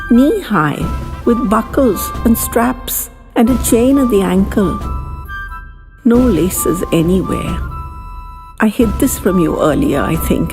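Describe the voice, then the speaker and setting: A woman reading a first-person slice-of-life story as scripted narration, in an expressive, conversational way, as if telling it to someone close. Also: medium pitch. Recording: long reverb, faint music behind.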